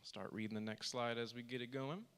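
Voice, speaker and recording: A soft-spoken man who is a therapist, giving a church workshop presentation and reading quotes aloud with earnest emphasis. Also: medium pitch, fairly even intonation, brisk, clean tone. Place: church hall. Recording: reverberant church hall.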